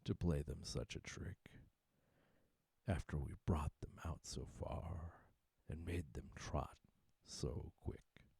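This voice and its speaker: A man's voice: rumbling low, slow, vocal fry, dark tone, breathy edge, no room sound, whispered, full character voice. A man voicing a genteel, falsely kind walrus in a storybook poem, coaxing and pompous. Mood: mock regretful. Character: smooth-talking male walrus, genteel, falsely kind, hungry.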